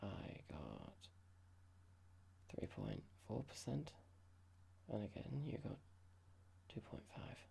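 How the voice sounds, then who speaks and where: A performer voicing a horrible, condescending office boss in a whispered ASMR roleplay, mock-polite and slow, asking pointed, patronising questions. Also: mid-range voice, huge pitch swings, vocal fry, dark tone, a little hiss or hum, full character voice. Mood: smug, reproachful.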